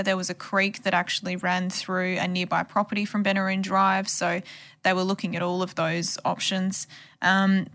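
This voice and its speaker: A woman with a slightly low voice speaks as a journalist on a podcast. She gives her account conversationally, explaining events as someone who reported on them. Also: a bit bright. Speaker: journalist who covered the case.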